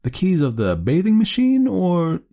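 A man voicing a polite, cautious young gentleman nephew in an audiobook story. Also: on the high side, very animated, normal speed, gravelly, voice pushed up, exaggerated voice. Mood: polite, innocent. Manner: polite, mildly hesitant. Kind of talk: audiobook character voice.

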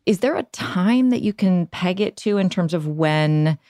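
A woman's voice: on the low side, animated, measured pace, dark tone, no room sound. A woman hosting a podcast, asking thoughtful, tentative questions in a conversational style. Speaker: podcast host. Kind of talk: conversational interview.

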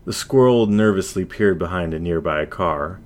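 A man's voice: deep voice, normal speed, darkish tone. A man narrating a scripted story as its reader.

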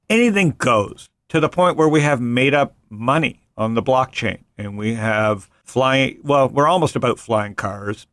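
A man hosting a casual finance podcast, loose and joking, rambling with quick asides. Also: mid-range voice, fairly bright tone, no room sound. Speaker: podcast host and venture investor.

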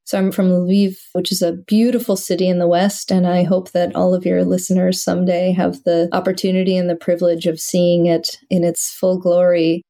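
A woman's voice: on the low side, little pitch movement, normal speed, hardly any pauses, warm tone. A woman from Western Ukraine, a venture investor, speaking in an earnest, reflective and articulate way as a podcast guest. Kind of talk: podcast interview.

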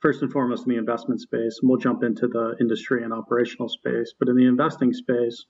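A male podcast host conducting an interview, asking polite, measured questions. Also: medium pitch, little pitch movement, darkish tone, highs cut off, a little hiss or hum. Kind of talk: interview hosting.

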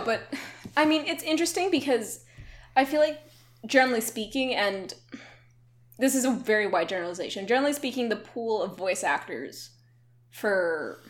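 Chatty, opinionated woman podcaster. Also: medium pitch. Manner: chatty, opinionated, laughs often. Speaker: podcast co-host. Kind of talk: casual chat.

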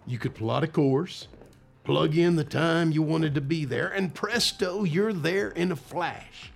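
A confident, keen time-travel scientist acted by a man in an audio drama. His voice is heavily fried and gravelly. He explains in an informal, assured way. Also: a little high, a little slow, faint music behind. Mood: enthusiastic.

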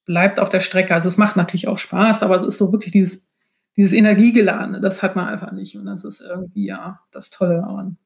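A woman's voice: mid-range voice, little pitch movement, quick pace, gravelly. A woman giving frank, explanatory answers in a conversational interview. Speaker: guest, screenwriting and audio drama author.

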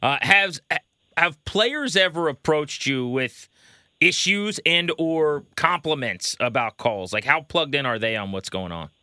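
Male sports talk host, sharp and forceful tone, dry close-mic sound, casual interviewer delivery. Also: fairly high pitch, expressive, measured pace, dry recording. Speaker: baseball show host, interviewer.

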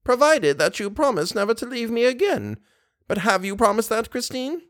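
A man voicing an anxious old widow, high-pitched. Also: big pitch swings, normal speed, fairly bright tone, no room sound, clean studio sound, full character voice. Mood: fond, chiding. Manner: fretful, exclamatory. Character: anxious elderly widow, kindly, simple adoptive mother.

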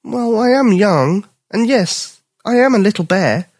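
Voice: mid-range voice, animated, measured pace, a little mellow, no room sound, clean studio sound, pitched above the performer's own voice. A storyteller voicing a young male bear cub who is playful and kind. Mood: earnest. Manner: playful, earnest.

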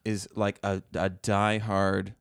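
A man's voice: fairly low pitch, little pitch movement, slow, vocal fry. A man co-hosting a comedy podcast, telling funny stories casually and stammering as he starts sentences.

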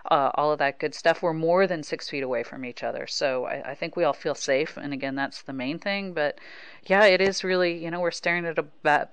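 A woman's voice: deep voice, fairly bright tone, smooth, no room sound. A woman with an American accent, hosting a sports podcast and talking casually as a reporter. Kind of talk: casual conversational sports talk.

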